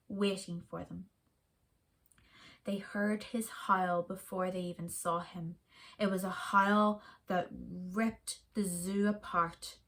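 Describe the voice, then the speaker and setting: A woman reading a children's story aloud in an expressive, dramatic way with deliberate pauses. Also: medium pitch.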